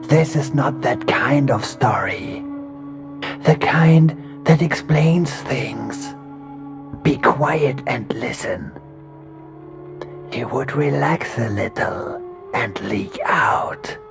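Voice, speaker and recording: A man narrating a horror story, voicing an uncanny being that only poses as a calm man, speaking softly and measured, often sinking to a whisper. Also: mid-range voice, vocal fry, voice pushed up. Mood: whispered menace. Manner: soft, measured, slips into hushed whispers. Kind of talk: horror audiobook reading.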